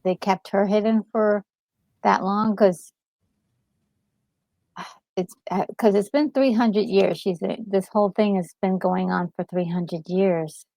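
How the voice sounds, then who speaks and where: Slow, creaky-voiced woman chatting casually. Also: medium pitch, steady tone, warm tone, no echo. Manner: halting, thinking aloud. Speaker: gaming co-host. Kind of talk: casual reaction chat.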